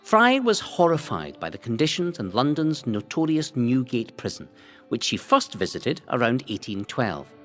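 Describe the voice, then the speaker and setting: Expressive male narrator over music. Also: medium pitch, normal speed. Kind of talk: scripted historical audio guide narration.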